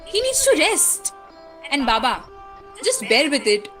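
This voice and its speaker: A woman playing a stern, sharp-tongued single mother in an amateur radio play, emphatic and scolding. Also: high-pitched, huge pitch swings, unhurried, faint music behind, exaggerated voice.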